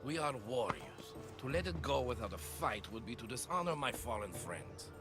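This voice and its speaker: A man's voice: medium pitch, sing-song, moderate pace, music in the background, close to an everyday voice. A man playing a grim, philosophizing mercenary spearman in a video game, solemn and brooding. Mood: grave. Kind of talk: dramatic video game acting.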